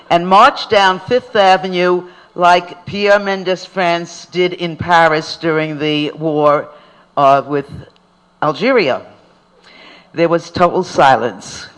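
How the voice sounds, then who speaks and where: A man's voice: fairly high pitch, fairly bright tone, low-quality recording. An older man reading a prepared anecdote to an audience in a wry, self-deprecating storytelling manner. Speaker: activist speaker at a tribute event.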